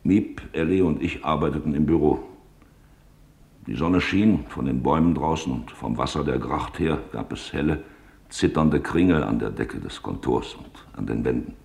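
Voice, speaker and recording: A male clerk with a very deep bass and heavy fry in the voice. He recounts his wartime memories soberly and deliberately, as testimony in a radio drama. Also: darkish tone. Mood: sober, reflective. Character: male office clerk recalling a wartime police raid. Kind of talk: German radio drama witness monologue.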